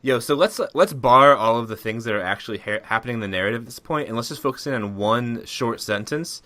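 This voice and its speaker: A man's voice: mid-range voice, fast, pushed and bright. A man hosting a comedy podcast, chatting casually with his co-hosts. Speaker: podcast host.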